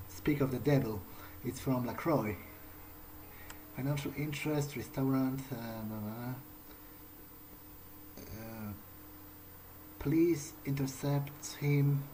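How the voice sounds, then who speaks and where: A man improvising in character during a game playthrough, speaking in a halting way and repeating words. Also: mid-range voice, a little mellow, low-quality recording. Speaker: gamer roleplaying his character. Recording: faint background noise.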